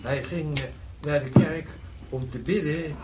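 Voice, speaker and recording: A man's voice: fairly high pitch, steady tone, measured pace, lightly acted. An actor playing a humble, easily flustered peasant husband in a radio play, given to stammering and repeating his words. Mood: nervous storytelling. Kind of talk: Dutch radio play acting. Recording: noisy radio play recording.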